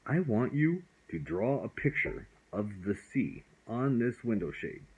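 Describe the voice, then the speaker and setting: A man voicing a kindly, reassuring magic dragon in a bedtime story, gentle and coaxing. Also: medium pitch, very animated, measured pace, husky, highs cut off, heavily acted. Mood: comforting.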